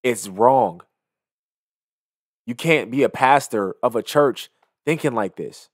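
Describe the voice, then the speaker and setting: A man's voice: medium pitch, lively intonation, takes it slowly, dry recording. A young man giving emphatic, moralizing reaction commentary into a close microphone. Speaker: video commentator. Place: small indoor home studio. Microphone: close studio microphone on an arm, headset on.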